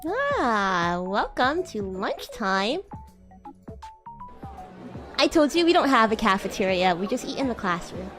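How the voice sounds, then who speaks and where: High, lively woman playing a bratty demon schoolgirl. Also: measured pace, long pauses, soft background music. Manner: playful, teasing, giggly. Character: bratty young female demon schoolgirl classmate. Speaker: VTuber streamer. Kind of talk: improvised roleplay livestream chat.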